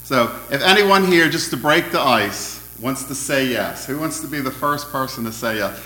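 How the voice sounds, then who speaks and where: A man preaching an interactive sermon, asking his congregation questions in a loud, friendly voice. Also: mid-range voice, animated, moderate pace, roomy sound, a little hiss or hum, rough recording. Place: church hall.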